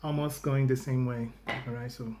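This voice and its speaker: A man's voice: mid-range voice, fairly even intonation, moderate pace, darkish tone, a little hiss or hum. A Brazilian man whose first language is Portuguese, hosting a casual reaction video in English with a Brazilian accent. He often restarts phrases and fills gaps with "you know.". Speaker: YouTube reaction host. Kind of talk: casual video chat to viewers.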